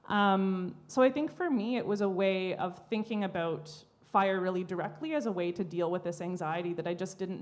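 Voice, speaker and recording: A woman artist speaking as a guest at a live panel, thoughtful and searching for her words as she reflects. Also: lowish voice. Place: public library event room.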